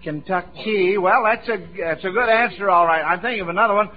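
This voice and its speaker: Folksy high-voiced American male quiz host, vintage radio. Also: a little fast. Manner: folksy, chuckling, encouraging the children. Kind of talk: 1940s radio quiz show hosting. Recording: dull vintage radio broadcast recording.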